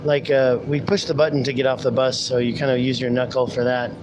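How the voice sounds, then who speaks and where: A man's voice: mid-range voice, level tone, a little fast. A man chatting casually to his viewers on a live stream while riding a city bus, rambling as he goes and talking through a pulled-down mask. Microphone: handheld camera mic, speaking through a pulled-down mask. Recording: bus noise behind. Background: bus engine and traffic.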